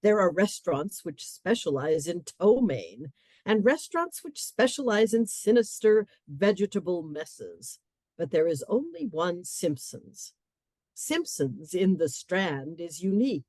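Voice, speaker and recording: A woman playing a wry, urbane storyteller, narrating a comic radio play in a dry, measured, relishing way. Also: lowish voice, big pitch swings, a little mellow, dry recording.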